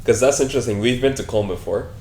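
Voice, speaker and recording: A man's voice: medium pitch, rapid-fire, a little mellow, slight background noise. A young man in his twenties, a podcast guest, chatting in an easygoing, curious way with casual slang. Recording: echoey room.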